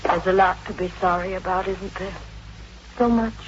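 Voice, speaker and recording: An actress in a 1950s radio drama playing a distraught, bitter wife who pleads and broods, repeating herself. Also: female voice, on the low side, fairly even intonation, moderate pace, close to an everyday voice. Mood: weary, bitter. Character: distraught, bitter wife guarding her beloved dog. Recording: noisy, dull vintage radio recording.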